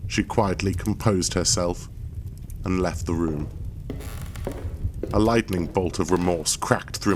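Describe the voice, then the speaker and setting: Deep-voiced, brooding obsessed expedition leader. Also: male voice, slight background noise. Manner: grandiose, brooding, theatrical. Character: obsessed, bitter, proud male expedition leader and husband. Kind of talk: dramatic first-person audio drama narration.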